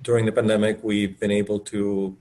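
A man's voice: lowish voice, level tone, fairly quick, warm tone. A middle-aged man from Colombia, an author speaking as a guest over a video call, enthusiastic and rambling with many fillers. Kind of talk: casual conversational talk.

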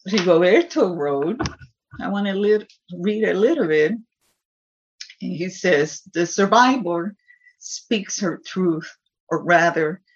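A woman's voice: on the low side, dull audio. A woman from Puerto Rico with a Puerto Rican accent, a native Spanish speaker, speaking as a poet telling personal stories and reading to an audience. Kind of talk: personal storytelling and poetry reading before an audience.

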